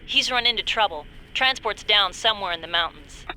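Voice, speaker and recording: A woman's voice: medium pitch, lively intonation, brisk, sharp tone, a little hiss or hum, low-quality recording. An actress playing a concerned woman agent giving radio support in a video game cutscene. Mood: informative.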